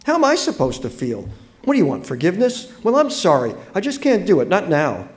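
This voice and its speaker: Man voicing a bitter grieving woman, raised pitch. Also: monotone, normal speed, mellow, natural voice. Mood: bitter, accusing. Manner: tense, accusing, emotional. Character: bitter, grieving woman who has lost her pet.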